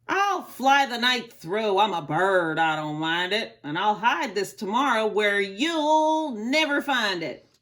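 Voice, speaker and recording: A mighty, mean eagle sneers and taunts in a gruff, drawn-out voice, as a woman puts him on for a storybook. He sounds cocky and dismissive. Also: mid-range voice, sing-song, fairly bright tone. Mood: sneering.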